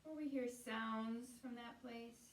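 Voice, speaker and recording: A woman guiding a meditation as a teacher, speaking in a soothing, unhurried way with pauses between phrases. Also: a little high, steady tone, slight background noise. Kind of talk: guided meditation. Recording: roomy echo, distant from the mic.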